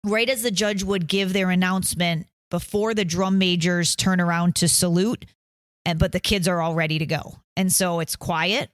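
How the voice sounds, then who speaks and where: A woman's voice: on the low side, steady tone, normal speed, dry recording. A woman telling candid stories in a casual podcast chat as a guest band mom. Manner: chatty, candid storytelling.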